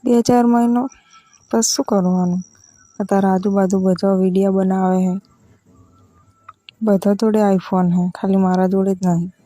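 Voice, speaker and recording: A woman's voice: medium pitch, steady tone, moderate pace, pauses often, warm tone, natural voice. A woman with a Gujarati accent playing a demanding village in-law who wheedles insistently in a casual phone conversation. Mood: wheedling.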